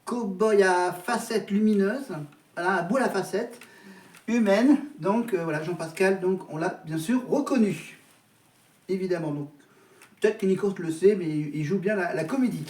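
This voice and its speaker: A man talks casually to his viewers in a high-pitched voice, stopping and restarting his phrases as he goes. He sits back from the mic in an echoey room. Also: darkish tone, distant mic. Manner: hesitant, repeats words and restarts often. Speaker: vlogger. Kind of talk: casual chat to camera.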